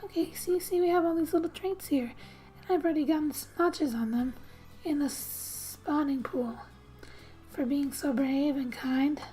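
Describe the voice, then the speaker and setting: A soft-spoken woman reading game text aloud in a gentle, hushed ASMR style with musing asides, often slipping into a whisper. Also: high-pitched, moderate pace, soft background music, poor audio. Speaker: gaming ASMR host.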